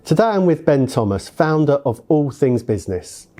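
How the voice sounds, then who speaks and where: A man's voice: a little high, big pitch swings, normal speed, over music. A man hosting a casual business interview, asking friendly, chatty questions. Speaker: interviewer, host.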